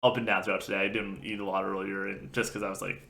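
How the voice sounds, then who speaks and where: A young man co-hosting a casual gaming podcast, telling a rambling, animated story while getting over being sick. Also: sits low, fast talker, gravelly. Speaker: podcast co-host.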